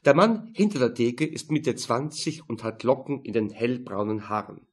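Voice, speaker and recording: A man's voice: medium pitch, expressive, normal speed, breathy edge. A man reading a crime novel as scripted narration. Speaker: audiobook narrator.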